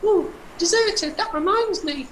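A woman voicing an excitable, naive little boy in a puppet show, exclaiming and drawing out simple words. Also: very high-pitched. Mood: hungry, complaining. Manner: exclaiming, drawn-out words, simple childlike phrasing. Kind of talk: hobby puppet show acting. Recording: roomy, echoing home recording with faint noise.

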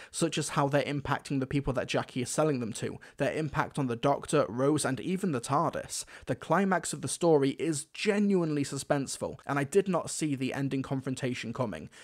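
A man hosting a scripted video-essay review in an enthusiastic, emphatic manner. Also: mid-range voice. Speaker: reviewer, host.

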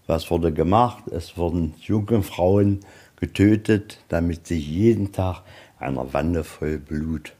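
A man with a husky voice giving an informal, explanatory guided tour as a museum guide. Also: low-pitched, takes it slowly, dark tone. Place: indoors in a museum.

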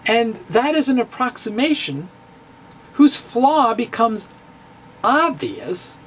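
A middle-aged man giving a dramatic, comedic reading of a physics blog, halting and theatrical with emphatic pauses. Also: extremely high pitch, big pitch swings, a bit bright, slight background noise, rough recording. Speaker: blogger, stand-up physicist. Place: indoor room with bookshelves. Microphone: handheld-style microphone on stand, close.

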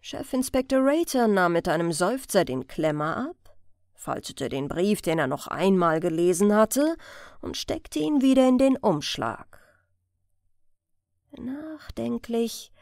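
Female story narrator, measured slow pace, scripted audiobook read, dry studio-like sound. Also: medium pitch, sing-song, vocal fry, darkish tone, no room sound.

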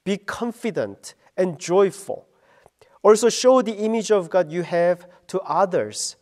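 A middle-aged man, a pastor giving an earnest, slow radio devotion sermon. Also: sits high, animated, a little mellow.

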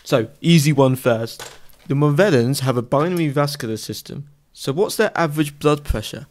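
A man's voice: on the high side, normal speed, darkish tone, a little hiss or hum, close to an everyday voice. A middle-aged man acting a practical, sarcastic, pushy father in a science fiction audio drama. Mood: impatient, critical.

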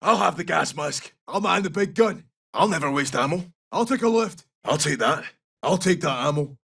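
An actor playing a tough, loyal Scottish special forces soldier in a video game, giving short, clipped callouts in a gravelly voice. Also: male voice, a little high, lively intonation, a bit bright, distant mic, heavily acted. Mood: brisk, businesslike. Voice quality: husky, gravelly. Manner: clipped, brisk, urgent.

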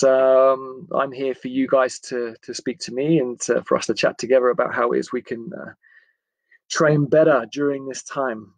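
English male coach, gravelly edge, chatty and hesitant, live to webcam in a dry small room. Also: medium pitch, no echo, low-quality recording. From England. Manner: friendly, chatty, halting with frequent pauses. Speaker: football coach giving a livestream talk. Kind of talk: informal live talk to camera. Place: indoors, small attic room.

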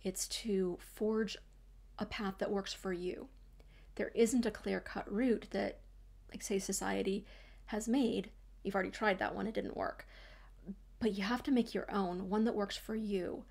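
Soft-spoken woman with a gravelly edge. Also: mid-range voice, fairly even intonation, measured pace. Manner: thoughtful, gestures a lot, frequent restarts and soft trailing asides. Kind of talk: reflective talk to camera. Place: small home room, indoors.

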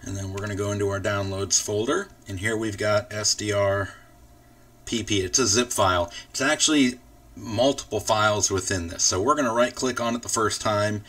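Low-pitched man giving a casual software tutorial. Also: fairly even intonation, normal speed, recorded from a distance. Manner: informal, step-by-step explaining. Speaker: tutorial host. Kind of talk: casual screen-recorded software walkthrough.

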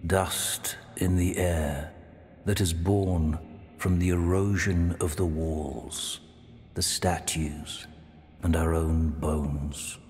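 An actor playing a solemn, ominous ancient preceptor in a video game, speaking with grave, portentous weight. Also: male voice, deep voice, level tone, very slow, a little mellow. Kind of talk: scripted video game villain monologue. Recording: long cavernous reverb, music underneath. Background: music.

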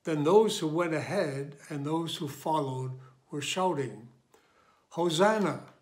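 A man in his sixties reading scripture in a measured, deliberate way, recorded in a room at home. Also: fairly high pitch, darkish tone. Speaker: lay scripture reader.

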